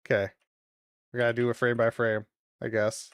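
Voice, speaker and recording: A streamer of medium-pitched voice giving casual watch-along commentary, reading phrases aloud deliberately and adding loose muttered asides. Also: little pitch movement, clean tone, dry recording, studio quality. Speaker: streamer reacting to videos.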